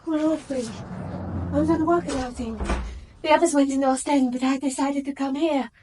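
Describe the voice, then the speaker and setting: An actress playing a guarded young bride-to-be who works in a garage, reflective and controlled, in a radio drama. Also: female voice, high-pitched, steady tone, brisk, warm tone, a little hiss or hum, natural voice. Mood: strained. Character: young garage-working bride-to-be, guarded, suspicious, narrating her betrayal. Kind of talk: radio drama acting with confessional narration.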